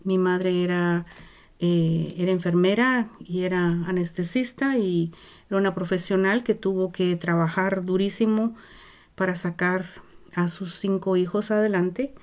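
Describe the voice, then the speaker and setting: An older woman with a Guatemalan Spanish accent speaks in a fairly low voice. She tells her story slowly and thoughtfully, pausing, restarting and searching for words as she goes. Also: steady tone, a little mellow. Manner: slow and searching, many hesitations and restarts. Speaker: interview guest. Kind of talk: reflective personal storytelling.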